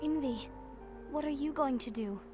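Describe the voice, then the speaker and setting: A woman playing an earnest, gentle young apprentice heroine in a fantasy video game cutscene. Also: high voice, normal speed, over music, natural voice. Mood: worried, earnest. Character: earnest young female keyblade apprentice turned leader.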